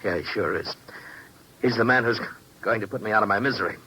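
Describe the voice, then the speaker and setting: An actor playing a washed-up former football star turned gambling private eye, with an American accent and edgy, slangy bravado, in 1950s radio drama style. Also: male voice, lowish voice, fast, pushed and bright, husky. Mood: bitter, drunken. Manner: slangy, edgy tough talk. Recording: noisy vintage 1950s radio recording.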